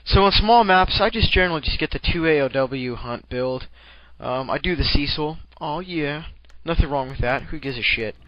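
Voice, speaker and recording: A man's voice: on the high side, animated, normal speed, hardly any pauses, dry recording, lo-fi sound. A man giving casual, rambling commentary on a game replay, with profane, jokey slang.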